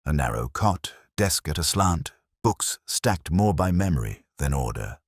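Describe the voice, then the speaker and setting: A man reading a scripted first-person detective story in a measured, literary, brooding manner. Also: a bass voice, very animated, husky, no echo, studio quality. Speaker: narrator.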